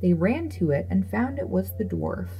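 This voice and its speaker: A woman's voice: medium pitch, wildly swooping pitch, normal speed, dark tone, soft background music, rough recording. A woman reading a classic fairy tale aloud as a scripted narration. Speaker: storyteller. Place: voice-over with music behind.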